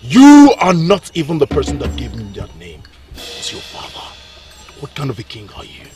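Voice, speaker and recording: A man's voice: a little high, huge pitch swings, normal speed, recorded from a distance, loud music behind the voice. An actor playing a defiant, heartbroken prince who accuses the king. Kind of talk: film drama acting.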